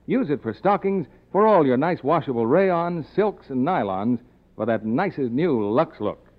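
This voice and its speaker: A man's voice: mid-range voice, lively intonation, a little slow, slight background noise. A man with an American accent announcing scripted advertisements and sign-offs on 1950s radio. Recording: dull, lo-fi 1950s radio broadcast.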